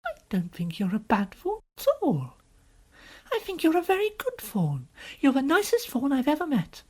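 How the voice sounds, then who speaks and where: A woman's voice: high voice, wildly swooping pitch, normal speed, pitched above the performer's own voice. A woman voicing an earnest, kind-hearted, anxious little girl in a storybook, pleading and exclaiming. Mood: concerned.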